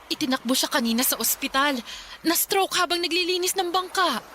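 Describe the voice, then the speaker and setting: A woman's voice: very high-pitched, expressive, a little fast, pushed and bright, soft background music, exaggerated voice. An actress playing a worried mother of six, the wife of a poor fisherman, in a radio drama. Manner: anxious, tearful.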